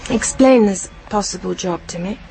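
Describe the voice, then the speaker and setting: An actress playing a devoted, gently firm English wife and mother in a radio drama. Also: medium pitch, normal speed, creaky, slight background noise, lightly acted, poor audio. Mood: firm, guarded.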